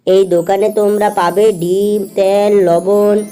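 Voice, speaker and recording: A woman's voice: medium pitch, level tone, measured pace, mellow, clear, resonant tone, faint music behind, lightly acted. A woman voicing a gullible male fox husband who keeps shop in a cartoon, with exaggerated exclamations. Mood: busy, hawking. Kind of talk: Bengali cartoon voice acting.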